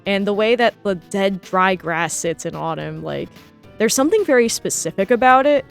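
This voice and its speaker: A young woman with an American accent talks in a casual, chatty way about art. She sounds enthusiastic, with a medium-pitched voice. Soft music plays behind her. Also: expressive, normal speed, gravelly. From New Jersey, United States. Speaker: artist and video host. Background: background music.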